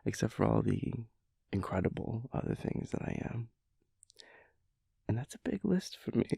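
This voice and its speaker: Guy doing a sleepy goofy boyfriend roleplay, gravelly n breathy, slow theatrical rambling, close dry sound. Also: on the low side, very animated, no room sound, full character voice. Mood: giddy. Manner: mock-dramatic, giggly, flowery wording, swinging into hushed breathy asides. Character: playful sleepy chaotic boyfriend, dramatic and silly.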